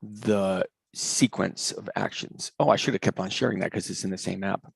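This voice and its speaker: Upbeat low-voiced man teaching online. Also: fairly even intonation, moderate pace, creaky, husky, dry recording, poor audio. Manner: enthusiastic, rambling, many fillers and restarts. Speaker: coding course instructor. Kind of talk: casual live class over video call.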